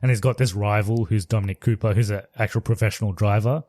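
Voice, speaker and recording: A man's voice: fairly low pitch, steady tone, fast talker, warm tone, no echo, studio quality. A man co-hosting a podcast, casually retelling a movie plot in a loose, rambling way with restarts. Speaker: podcast co-host. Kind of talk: casual chat reviewing movies.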